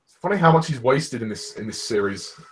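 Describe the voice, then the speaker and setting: Male fan commentator, sarcastic and blunt, mid-pitched plain voice. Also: lively intonation, fairly quick, slightly rough, rough recording. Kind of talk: casual film commentary.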